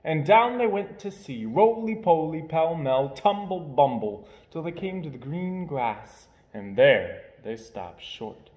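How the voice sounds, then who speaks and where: Animated young man reading a storybook. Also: on the high side, takes it slowly, hardly any pauses. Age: young adult. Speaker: storyteller reading a picture book aloud. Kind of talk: expressive children's story read-aloud. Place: indoor room with hard walls. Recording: roomy indoor echo.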